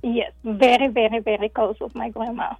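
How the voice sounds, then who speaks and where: A woman's voice: fairly high pitch, fairly even intonation, moderate pace, husky, no echo, phone-quality sound. A woman in her thirties from Africa, telling her life story openly as an interview guest, laughing often and repeating words. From South Africa. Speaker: guest, nonprofit founder. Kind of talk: casual interview.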